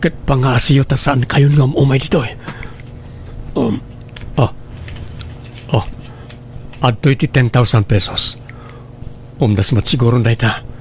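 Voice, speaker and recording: A man's voice: mid-range voice, fairly even intonation, measured pace, pauses often, lightly acted. A man playing an uneasy, evasive married father in a radio drama, speaking with an Ilocano accent. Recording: faint background noise and ambience.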